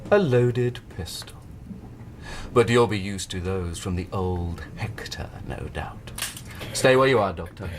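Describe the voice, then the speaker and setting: Terse, menacing convict conspirator. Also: male voice, low-pitched, animated, unhurried. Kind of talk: radio drama acting. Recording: noisy radio drama scene.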